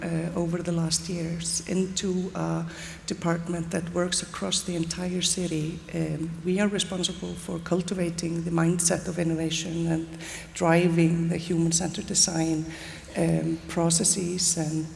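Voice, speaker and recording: Gravelly low woman from Iceland. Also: flat delivery, measured pace, darkish tone, slight background noise. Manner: hesitant, many fillers. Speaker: panelist, city official. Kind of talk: conference panel talk. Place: large conference stage. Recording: long reverb, faint ambience.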